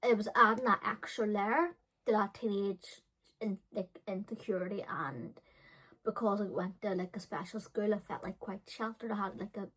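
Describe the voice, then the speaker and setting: A woman in her thirties talks in a casual vlog storytelling style. She rambles, pads her sentences with fillers and doubles back to correct herself. Her pitch sits in an average range for a woman. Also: normal speed, fairly bright tone, breathy edge, low-quality recording. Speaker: beauty and storytime vlogger.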